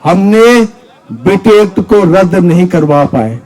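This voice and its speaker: A man, a Buddhist monk, delivers an impassioned political rally speech from a stage, declaiming forcefully and driving points home with repeated rhetorical questions. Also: high voice, sing-song, takes it slowly, rough recording. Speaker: Buddhist monk and activist speaker.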